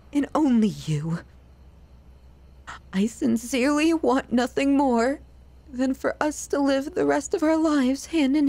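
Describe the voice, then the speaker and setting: Woman acting slow, high-voiced obsessive yandere lover. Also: darkish tone. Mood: fervent devotion. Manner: slow, halting, pleading, intimate delivery. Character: obsessive, possessive yandere lover, tender, menacing and desperate. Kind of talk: dramatic ASMR roleplay addressed to the listener.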